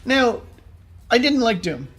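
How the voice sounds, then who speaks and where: A man's voice: very high voice, big pitch swings, takes it slowly, fairly bright tone. A man co-hosting a podcast in an informal, conversational way, reacting to his partner. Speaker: podcast co-host. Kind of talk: casual chat.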